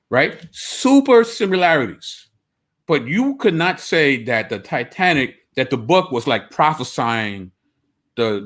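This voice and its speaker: Brief: male podcast co-host, mid-pitched, conversational, reads sources aloud. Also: a bit bright. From United States (Brooklyn). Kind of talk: casual discussion, reading passages aloud.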